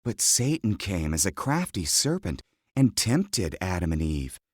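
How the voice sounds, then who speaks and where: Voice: deep voice, big pitch swings, measured pace, slightly rough, no room sound, studio quality. A narrator reading a scripted children's Bible story.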